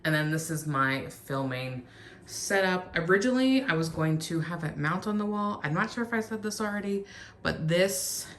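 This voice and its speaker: A woman's voice: low voice, fairly bright tone, a little hiss or hum. A woman giving a rambling, chatty vlog tour of her nail supplies in a home guest room, with lots of filler words, while losing her voice. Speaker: nail art vlogger. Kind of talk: casual show-and-tell vlog.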